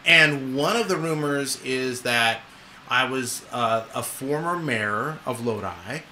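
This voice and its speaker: A man's voice: mid-range voice, slow pace, sharp tone, a little hiss or hum. A man hosting a casual radio chat in a studio, joking and rambling with lots of restarts. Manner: jokey and rambling, with many restarts. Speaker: radio host. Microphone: studio microphone with headphones.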